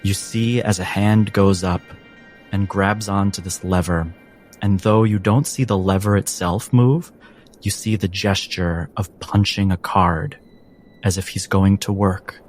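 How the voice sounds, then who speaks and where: A man narrating as game master in a dramatic, measured, suspenseful style with long pauses. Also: deep voice, fairly even intonation, a little mellow. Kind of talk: dramatic second-person tabletop narration. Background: background music.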